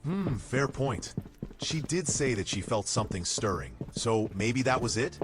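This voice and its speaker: A man playing a cautious, wry, level-headed soldier in a fantasy audio drama, speaking in a measured manner. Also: mid-range voice, expressive, natural voice.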